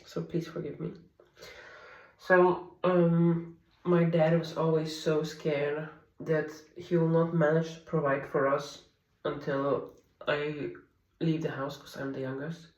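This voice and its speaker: A woman in her thirties whose first language is Polish, speaking in a soft, candid, confiding way in a personal diary vlog at home. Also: low voice, flat delivery, takes it slowly, reverberant, distant mic, muffled recording. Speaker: vlogger. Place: home, at night.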